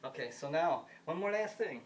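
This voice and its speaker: A man's voice: on the high side, lively intonation, moderate pace. A man in his fifties from the United States, rambling through a solo monologue, often repeating himself and circling back to the same points. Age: 50s. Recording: distant mic with faint background noise.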